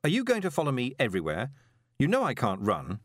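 A man voicing an irritable, quick-witted swindler in an audiobook, with a sarcastic, demanding manner. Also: medium pitch, huge pitch swings, no room sound, studio quality, voice pushed up.

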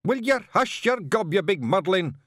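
A man reading aloud and voicing a tough little male fairy chief in a Scottish accent, slow and heavy-hearted. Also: medium pitch, animated, a bit bright, dry recording, clean studio sound. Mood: gruff anger.

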